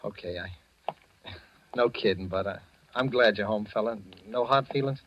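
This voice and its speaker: A man's voice: fairly low pitch, moderate pace, natural voice. A man as brooding young man, vintage radio drama. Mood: softened, conciliatory. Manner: terse, brooding. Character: bitter, wounded young man, proud and guarded. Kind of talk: old-time radio drama acting. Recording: dull, lo-fi vintage radio recording.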